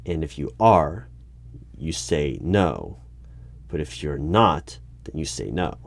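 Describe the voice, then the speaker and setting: A man playing an exasperated, argumentative hiring manager in an audio roleplay, speaking haltingly and in formal wording. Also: a bass voice, big pitch swings, gravelly, no room sound, full character voice. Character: exasperated male human-resources hiring manager interviewing a robot. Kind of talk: one-sided audio roleplay.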